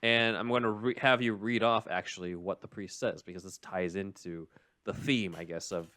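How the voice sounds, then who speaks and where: A low-pitched man talks like a podcast host walking listeners through a script. His delivery is measured and explanatory but casual, recorded close and very dry. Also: steady tone, pushed and bright, dry recording. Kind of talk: casual recap and commentary on a script.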